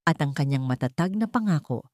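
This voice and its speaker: Low-voiced Filipino woman narrating, dry sound. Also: expressive, fairly quick, darkish tone, no room sound. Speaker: storyteller. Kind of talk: scripted dramatic audiobook narration.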